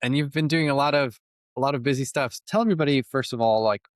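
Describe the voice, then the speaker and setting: A man's voice: mid-range voice, quick pace, dry recording, clean studio sound. A man hosting a podcast, asking questions in a casual, filler-laden way. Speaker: podcast host.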